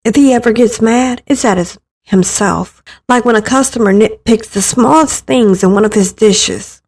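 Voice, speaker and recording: A woman's voice: on the high side, normal speed, darkish tone, slightly rough. A woman reading scripted first-person narration for a dramatic visual novel story.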